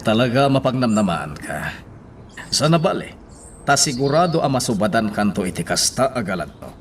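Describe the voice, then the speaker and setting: A man playing a courteous gentleman employer in an Ilocano radio drama. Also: medium pitch, very animated, normal speed, slight background noise, lightly acted. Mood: warm, grateful. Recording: loud music bed under the voice.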